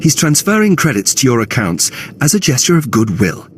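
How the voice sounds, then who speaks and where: A dutiful junior Imperial officer in a video game played by a man. His delivery is formal and eager to please, swinging widely in pitch. Music is clearly present behind him. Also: big pitch swings, brisk, natural voice. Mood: deferential. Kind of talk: video game character acting.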